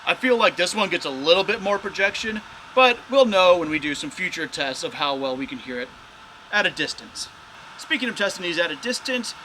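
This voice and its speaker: A man's voice: sits high, bright, forceful tone, slight background noise, low-quality recording. A man hosting a casual, hands-on product test of drone gear as a reviewer.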